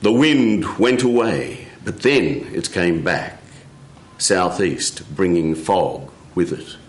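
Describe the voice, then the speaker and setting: A man telling a folk tale live in a measured way, with dramatic pauses. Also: low voice, lively intonation, echoey room. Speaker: storyteller. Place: radio studio with live audience.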